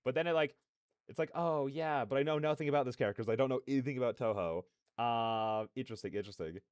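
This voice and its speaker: A man hosting a casual reaction livestream, rambling in chatty, slangy speech with an animated, self-deprecating manner. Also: medium pitch, fast, clean tone, no room sound. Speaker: livestream host.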